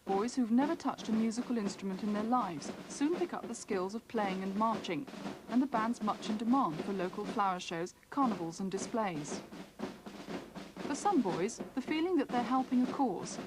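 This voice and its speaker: A woman's voice: mid-range voice, expressive, normal speed. A British woman reading scripted narration for a television news film. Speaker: documentary reporter, narrator. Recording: voice-over with clear background noise.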